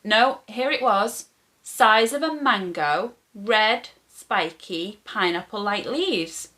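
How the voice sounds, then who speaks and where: A woman reading a children's story aloud as a storyteller, with expressive, animated phrasing for young listeners. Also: medium pitch, slow.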